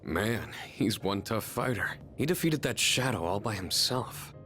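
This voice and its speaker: Game casting: calm, low-pitched young male fighter, over music. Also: unhurried. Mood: calm, concerned. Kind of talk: English video game dub acting.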